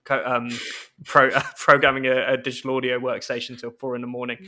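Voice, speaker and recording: Bright, flat-toned man in his twenties. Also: medium pitch, fairly quick. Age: 20s. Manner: friendly, chatty, laughs easily. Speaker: podcast host. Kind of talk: casual podcast conversation.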